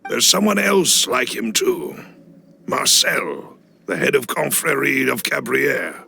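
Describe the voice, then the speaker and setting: Gravelly, formal, loyal butler. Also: male voice, mid-range voice, very animated, pushed and bright, a little hiss or hum, full character voice. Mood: measured, analytical. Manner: formal, measured, deferential. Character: loyal, cautious male butler of a crime boss family. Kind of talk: video game cutscene voice acting. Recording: clean studio voice over music. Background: background music.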